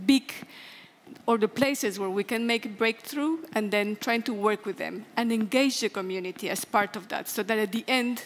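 Middle aged woman on a conference panel, highish voice, big hall echo. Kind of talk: explanatory panel talk. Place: large conference hall stage. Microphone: headset. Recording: long hall reverb from auditorium sound system.

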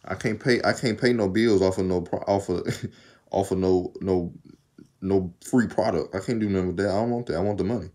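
A man's voice: low voice, fairly quick, dark tone. A young man with a slight Southern accent, rambling casually to his phone camera in a small room, laughing often and a bit tired from undoing his braids. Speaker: vlogger. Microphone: phone camera at arm's length.

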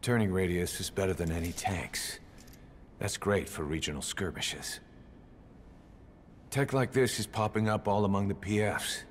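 Deep, blunt military officer. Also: male voice, unhurried, pauses often, slight background noise. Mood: dry, insistent. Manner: dry, blunt. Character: hard-edged, pragmatic male military second-in-command. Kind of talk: video game cutscene acting.

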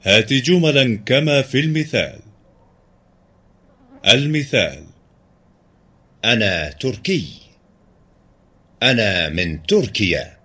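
A man reading recorded textbook language drills in a clear drill reading, played back over a call. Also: mid-range voice, expressive, brisk, long pauses, bright, forceful tone. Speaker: textbook audio speaker.

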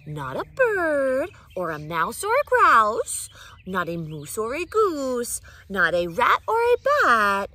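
A woman voicing a stern mother cat who scolds loudly and emphatically. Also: fairly high pitch, huge pitch swings, takes it slowly, pitched above the performer's own voice, exaggerated voice. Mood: lecturing.

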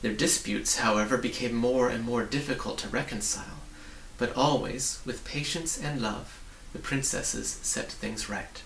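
Bright, creaky-voiced man reading a storybook. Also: mid-range voice, steady tone, a little slow. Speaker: reader. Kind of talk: storybook narration, read aloud. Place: small book-lined home study, indoors. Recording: roomy, distant mic with faint noise.

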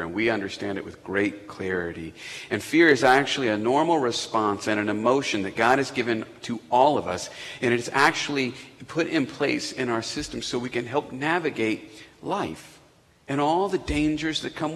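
A man's voice: medium pitch, moderate pace, a bit bright. A man preaching a sermon to his congregation in a conversational way, with asides and questions to the room. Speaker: pastor. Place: church sanctuary. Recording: echoey church hall.

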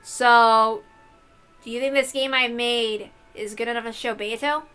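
A woman playing an excitable, confident young man in a visual novel, with an eager, informal, emphatic way of talking. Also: extremely high pitch, measured pace, clear, resonant tone, faint music behind, full character voice. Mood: curious, hopeful. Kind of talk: visual novel character reading.

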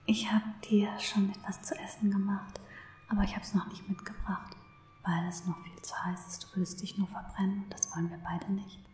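An obsessive, lovestruck female stalker, played by a woman in an ASMR roleplay. She coos to her captive in a soft, unhurried whisper at a middling pitch, tender and possessive. The microphone is close, with noticeable room echo around the voice. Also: steady tone, lightly acted. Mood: doting. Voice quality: breathy whisper.

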